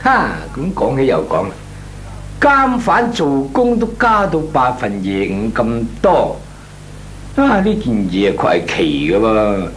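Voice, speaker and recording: A man's voice: medium pitch, wildly swooping pitch, unhurried. An actor playing a talkative, cautious small businessman in a Cantonese comic radio drama, hemming and chuckling as he talks. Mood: musing. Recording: clearly noisy, humming radio recording.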